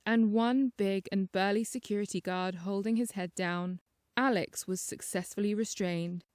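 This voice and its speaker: A woman's voice: medium pitch, a little mellow, clean tone, no room sound, studio quality. A woman reading a scripted first-person short story as an audiobook narrator.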